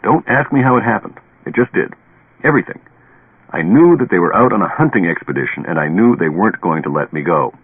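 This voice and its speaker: An actor playing a stubborn, haunted, tipsy professor who lectures deliberately and dramatically in a radio play. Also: male voice, low voice, expressive, slightly rough, phone-quality sound. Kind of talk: old radio drama acting. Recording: band-limited old radio recording, echoey.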